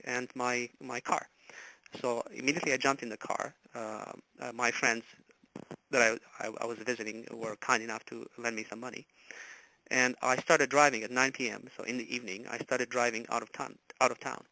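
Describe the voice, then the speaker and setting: Hesitant Romanian-born man, gravelly and bright. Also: mid-range voice, dry recording, poor audio. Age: 40s. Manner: halting, full of filler words and restarts. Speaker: interview guest. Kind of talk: recounting a personal story in an interview.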